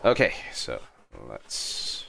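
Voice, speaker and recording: A man's voice: drawn-out words, music in the background. A man livestreaming a game with casual commentary, musing aloud and humming to himself. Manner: hums to himself, muses aloud.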